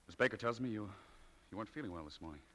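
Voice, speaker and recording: A man playing a mild, considerate shop manager in 1940s radio acting, speaking haltingly and earnestly, on an old radio recording. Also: lowish voice, expressive, a bit bright. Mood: gentle, hesitant. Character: mild, considerate newly promoted male shop manager, hesitant.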